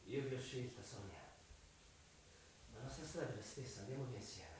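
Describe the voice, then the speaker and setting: A man's voice: mid-range voice, fairly quick, a little mellow, a little hiss or hum, close to an everyday voice. An actor playing an intense, tormented young man in an Italian radio drama, speaking with impassioned, theatrical insistence. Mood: earnest pleading. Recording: reverberant, distant mic, music bed.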